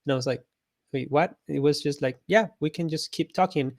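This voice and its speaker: A man's voice: fairly high pitch, fairly quick, a little mellow, no echo, clean studio sound. A man from Costa Rica, a guest on an interview show, telling his story in a friendly, reflective way and laughing often. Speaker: guest, illustrator and artist coach.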